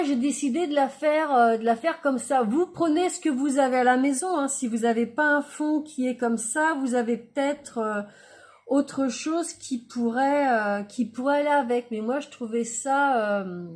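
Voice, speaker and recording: A woman talking casually through a card-making tutorial, chatty and hesitant, often trailing off with fillers as she works. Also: sits high. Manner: quiet, murmured while working on the craft. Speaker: crafting YouTuber.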